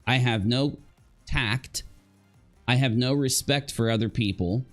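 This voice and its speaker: Male livestream commentator, mid pitch, conversational and halting, question-heavy reaction style, faint music underneath. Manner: halting, thinking aloud, rhetorical questions. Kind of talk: casual live reaction commentary.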